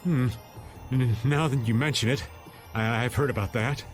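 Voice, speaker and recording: A man's voice: medium pitch, steady tone, normal speed, faint music behind, natural voice. A man voicing a goofy, whining middle-aged adventurer with hesitant, theatrical delivery in an anime video game dub. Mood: wry, uneasy.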